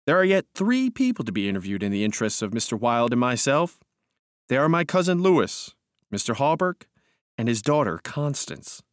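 A man's voice: medium pitch, normal speed, no echo, clean studio sound, natural voice. A man voicing a deluded, imperious madman in a storybook reading, grandiose and commanding, with simmering rage. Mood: controlled menace.

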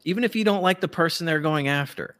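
A man's voice: on the high side, quick pace, fairly bright tone. A man giving casual, profane political commentary as a podcast host, ranting and mockingly acting out what others say.

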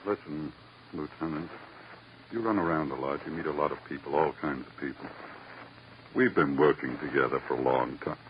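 An actor playing a sly, wheedling police lab technician in an old-time radio crime drama. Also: male voice, extremely low pitch. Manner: wheedling, drawn-out teasing. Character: sly, needling male police lab technician, fond of favors. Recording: muffled, noisy vintage radio recording.

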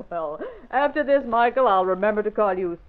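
A woman's voice: mid-range voice, expressive, moderate pace, close to an everyday voice. A woman playing a teasing secretary with dryly sarcastic banter in an old-time radio drama. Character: teasing, wry office secretary. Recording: tinny old radio recording.

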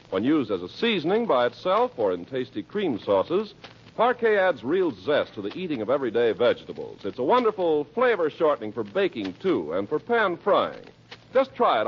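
Male vintage radio announcer, emphatic and polished, very animated, dull noisy 1940s broadcast sound. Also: a little high. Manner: polished, emphatic sales delivery. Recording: muffled old radio recording, faint noise.

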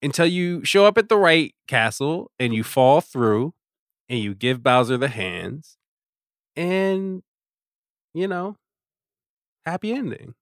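A man's voice: on the high side, sing-song, takes it slowly, long pauses, no echo, studio quality. A man chatting casually as an opinionated podcast guest, with informal, emphatic phrasing. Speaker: podcast guest, staff writer.

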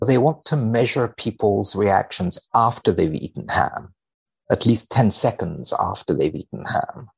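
A man speaking as a podcast guest in a measured, deadpan way with dry humour, both chatting and reading research aloud. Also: lowish voice, vocal fry, husky. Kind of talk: wry conversation and dramatic reading of a research paper.

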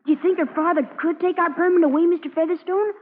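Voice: very high voice, fairly even intonation, fast talker. A young performer playing an earnest, anxious boy in an old-time radio drama. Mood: worried. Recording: echoey, tinny old radio broadcast.

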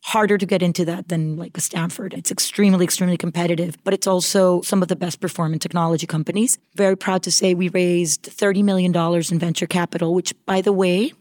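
A woman from Costa Rica in her forties, speaking as a guest in an interview, articulate and measured. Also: lowish voice, fairly even intonation, a little mellow, dry recording, studio quality. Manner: articulate, measured business talk. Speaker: guest, venture capital founder. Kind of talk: conversational interview.

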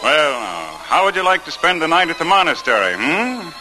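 An actor playing a cheerful, hearty young monk in a 1940s style radio drama, friendly and jovial. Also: male voice, fairly high pitch, big pitch swings, normal speed, full character voice, poor audio. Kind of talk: 1940s radio drama acting with carol singing. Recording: old radio recording with loud music.

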